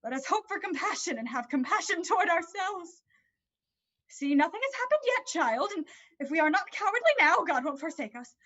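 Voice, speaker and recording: A very high, swooping voice of an anguished mother in a period play acted by a woman. She implores and evades, repeating herself with feeling. The audio is slightly dull and lo-fi. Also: big pitch swings, moderate pace, a bit bright, exaggerated voice. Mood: pleading. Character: anguished, evasive, pleading mother of a teenage girl. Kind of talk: dramatic reading of a 19th-century play.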